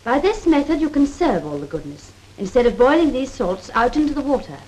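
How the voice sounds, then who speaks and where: Casting: actress as brisk British cookery demonstrator, high voice, clipped 1930s RP, scripted and instructive, on a worn old film soundtrack. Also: female voice, sing-song, a little mellow, poor audio. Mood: instructive, assured. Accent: 1930s British Received Pronunciation. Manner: precise, instructive, proper. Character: brisk, assured woman cookery demonstrator advising a housewife.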